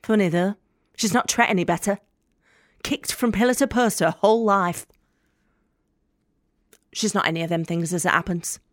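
A blunt young working-class woman with a Northern English accent , played by an actress, tells her story in an audio play. She is deadpan and sardonic, confiding in a mid-pitched voice, recorded close and very dry. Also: moderate pace, pauses often, dry recording, natural voice. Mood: wry, sardonic. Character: blunt, wry young working-class female debt collector with ailing mother. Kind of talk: dramatic monologue in an audio play.

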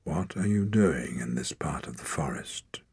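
A cold, unmoved clan chief who speaks low, slow and hushed, every word measured, as a male narrator voices him. The voice is husky and deep, recorded dry and close. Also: no room sound, heavily acted. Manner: slow, measured, quiet and flat.

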